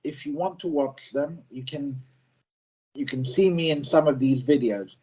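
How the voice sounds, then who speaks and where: A man, a self-advocate working as an easy-to-read editor, giving a prepared presentation in short simple sentences, slow and careful, with some restarts. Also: on the high side, warm tone, breathy edge, highs cut off. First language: not French; French is a second language.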